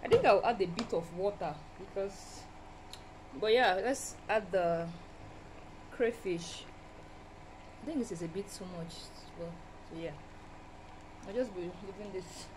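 A Nigerian woman with a mid-pitched voice talks casually to her viewers as a food vlogger. She is chatty, thinking aloud and exclaiming as she goes. Clear kitchen noise runs behind her on a handheld phone recording. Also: measured pace, long pauses. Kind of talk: casual cooking vlog chat. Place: small home kitchen, indoors. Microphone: handheld phone behind the camera. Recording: noisy phone recording with kitchen cooking sounds. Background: clear cooking noise.

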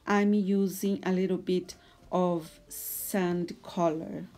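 A woman in her forties from Brazil, speaking English with a Brazilian Portuguese accent and talking softly and a little hesitantly as she explains a drawing tutorial. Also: mid-range voice. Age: 40s. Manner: soft, hesitant, a little nervous. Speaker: art tutor.

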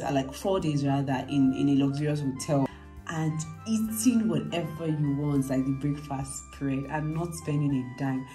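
Young Nigerian woman, low mellow voice, chatty vlog style, expressive, faint music behind. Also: rough recording. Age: young adult. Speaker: vlogger. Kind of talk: casual travel vlog chat. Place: hotel rooms, indoors. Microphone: phone at arm's length.

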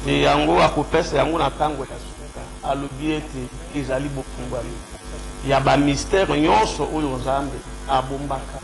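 A man's voice: medium pitch, fairly even intonation, gravelly, noisy background. A man who is a pastor, preaching unhurriedly on a studio microphone, with a cold. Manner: unhurried, quotes scripture, mixes French and Lingala. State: has a cold. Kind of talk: preaching on a radio call-in show. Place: radio studio. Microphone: studio mic with headphones.

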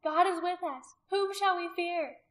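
Woman playing a devout, pleading young wife, raised pitch. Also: measured pace, a bit bright. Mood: tender grief. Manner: earnest, pleading, prayerful. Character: devout condemned wife, surrendered, grieving yet steadfast.

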